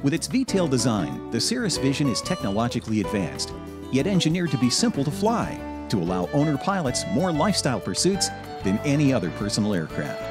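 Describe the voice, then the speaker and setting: A man reads a commercial voice-over with a lively, varied pitch. His delivery is smooth and polished. Guitar music plays clearly beneath him. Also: brisk. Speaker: advertisement announcer. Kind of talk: scripted ad voice-over.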